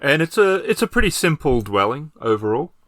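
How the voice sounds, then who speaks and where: A man's voice: mid-range voice, very animated, brisk, fairly bright tone, no echo, clean studio sound. A man working as game master, improvising casual scene descriptions for a tabletop game and circling back to rephrase.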